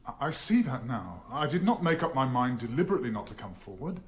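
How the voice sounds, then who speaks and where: A man's voice: medium pitch, big pitch swings, fast talker, lightly acted. An actor as a hesitant, frightened accused man. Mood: anxious, subdued. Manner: hesitant, subdued, formal answers. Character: dazed, frightened man on trial for murder. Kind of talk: radio courtroom drama acting.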